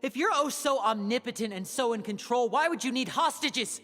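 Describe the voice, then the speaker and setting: An actor playing a suspicious, protective young male magician in a video game, guarded and sharp-tongued as he levels accusations. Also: medium pitch, lively intonation, normal speed, natural voice. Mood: accusing. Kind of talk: scripted game voice acting. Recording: long reverb, music underneath.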